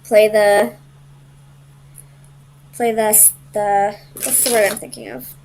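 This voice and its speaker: A woman's voice: mid-range voice, lively intonation, slow pace, a little mellow, a little hiss or hum, low-quality recording. A woman giving a casual, conversational tarot reading, hesitant as she thinks aloud and searches for her words. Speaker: tarot reader.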